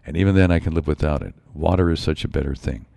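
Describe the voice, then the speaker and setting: A man hosting his own show in a rambling solo monologue, his voice a bit raspy from a slight cold. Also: a bass voice, quick pace, warm tone, no room sound. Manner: rambling, musing, frequent asides. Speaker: show host.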